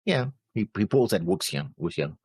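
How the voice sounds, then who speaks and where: A man co-hosting a reaction show, talking casually through notes with halting, noncommittal phrasing. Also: sits low, sing-song, a little mellow, breathy edge, no room sound, clean studio sound. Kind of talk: casual discussion, reading out notes.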